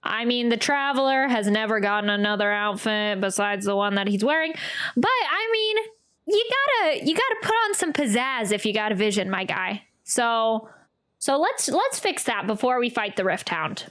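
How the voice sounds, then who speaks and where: A woman's voice: a little high, big pitch swings, normal speed, fairly bright tone. A woman streaming a game playthrough with casual reactions, coaxing in a motherly way and repeating phrases. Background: game music.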